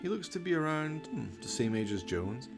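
A man reading scripted narration for a radio play in a creaky voice. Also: a little high, sing-song, moderate pace, music in the background.

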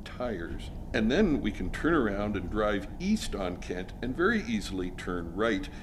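A man's voice: fairly low pitch, sing-song, measured pace, slight background noise. A man performing a scripted comic sketch, with a jokey but earnest delivery. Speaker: sketch writer and guest performer.

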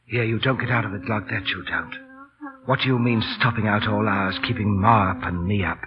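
A man's voice: lowish voice, steady tone, fairly quick, crowd noise, natural voice. An actor playing a proud, possessive London street busker in his late thirties, blustering and pompous with a cockney accent, in an old radio drama. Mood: angry, jealous. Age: late 30s. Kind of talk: 1940s radio drama acting. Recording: dull vintage radio broadcast recording.

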